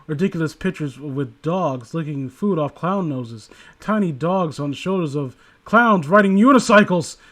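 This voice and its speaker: High-pitched man in casual sardonic commentary. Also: lively intonation, normal speed, hardly any pauses, a bit bright. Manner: sardonic, digressing from the text with profane asides. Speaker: host reading and critiquing internet horror stories. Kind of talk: casual group read-aloud with commentary.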